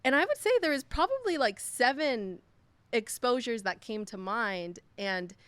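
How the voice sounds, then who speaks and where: A woman's voice: fairly high pitch, sing-song, measured pace, a bit bright, no room sound. A young woman, a podcast guest, telling a personal story in a chatty way with lots of fillers. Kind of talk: casual storytelling.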